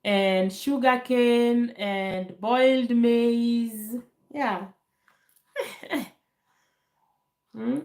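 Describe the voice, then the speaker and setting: Woman from Kenya, live Q&A host, calm and unhurried, post-cold voice, phone audio in an echoey room. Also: on the high side. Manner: calm, slow, laughs often, many fillers. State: recovering from a bad cold. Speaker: livestream host. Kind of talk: casual live Q&A chat. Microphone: phone held to camera.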